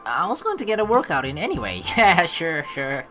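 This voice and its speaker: Woman streamer reading a visual novel out loud, super animated, bright lowish voice, chatty and jokey. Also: normal speed. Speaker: let's play host. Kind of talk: playthrough reading aloud with casual commentary. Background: game music.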